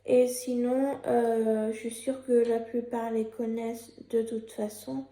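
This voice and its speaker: YouTuber, young woman, sick with a cold, gravelly and mellow, hesitant with lots of fillers. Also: on the high side, flat delivery, slow pace, echoey room. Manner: hesitant, many fillers and restarts. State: has a cold and a sore throat. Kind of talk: casual vlog commentary, partly read from a script.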